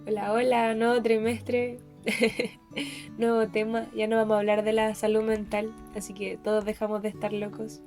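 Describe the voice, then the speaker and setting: Giggly young woman, flat tone. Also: a little high, normal speed, faint music behind. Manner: playful, giggly, even-toned. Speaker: podcast co-host. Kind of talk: podcast chat.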